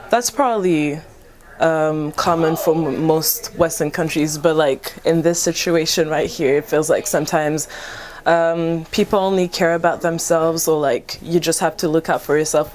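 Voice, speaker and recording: A young woman from Rwanda speaks in a very low register for a woman. She gives a casual student interview answer, hesitating and pausing as she goes. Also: fairly even intonation, darkish tone. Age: young adult. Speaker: university student.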